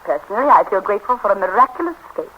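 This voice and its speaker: A woman's voice: mid-range voice, lively intonation, rapid-fire, natural voice. An actress playing a witty, capricious upper-class English woman in love, with an arch, clipped theatrical manner in 1930s radio drama style. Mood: light, arch. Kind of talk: 1930s radio drama acting, drawing-room comedy. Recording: noisy, muffled 1930s radio broadcast recording.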